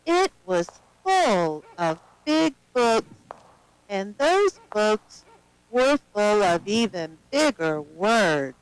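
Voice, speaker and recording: A woman's voice: medium pitch, very animated, very slow, smooth, dry recording, poor audio. A woman reading scripted narration for a children's story as its storybook narrator.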